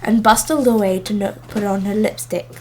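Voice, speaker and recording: A woman reading a children's story aloud in expressive narration. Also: medium pitch, moderate pace, a little hiss or hum, poor audio. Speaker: storybook reader.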